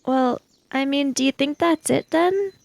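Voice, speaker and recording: A woman roleplaying an anxious, questioning kid in a 1980s sci-fi mystery tabletop game, rambling through questions in a creaky voice. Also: high voice, unhurried, darkish tone. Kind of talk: improvised in-character tabletop roleplay.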